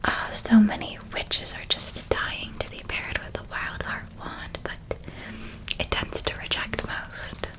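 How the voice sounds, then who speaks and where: Woman playing a kindly witch mentor, ASMR roleplay, hushed breathy whisper, slow unhurried delivery, tender and affectionate. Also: natural voice, rough recording. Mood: warmly excited. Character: gentle, doting older witch woman helping with school shopping.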